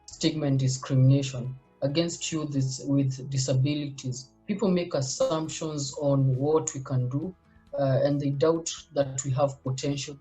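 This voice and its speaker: A man's voice: mid-range voice, steady tone, a little slow, mellow, soft background music, rough recording. A man from Kenya making earnest advocacy statements with slight hesitations.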